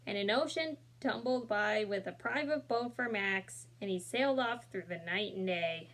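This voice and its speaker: A woman reading a children's picture book aloud, gently talking to young listeners between pages and voicing the characters with expression. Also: medium pitch, normal speed. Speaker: storybook reader. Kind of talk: children's read-aloud with asides.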